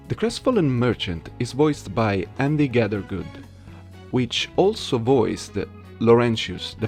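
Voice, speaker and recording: Low-voiced man chatting, slightly gravelly. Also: a little mellow. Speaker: video creator and amateur voice actor. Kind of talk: casual commentary on a game voice-acting challenge.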